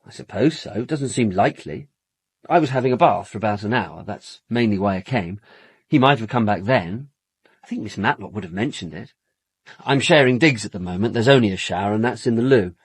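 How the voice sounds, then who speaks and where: A man's voice: lowish voice, lively intonation, a little fast, husky, no echo. An actor playing an effusive, evasive young man with an English accent in a radio drama, glib and casual in manner. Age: young adult. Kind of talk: British radio drama dialogue.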